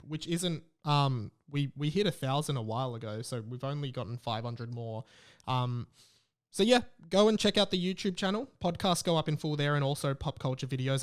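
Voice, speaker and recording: An Australian man hosting a solo podcast in a casual, rambling and informal way, with exasperated asides. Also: mid-range voice. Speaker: podcast host.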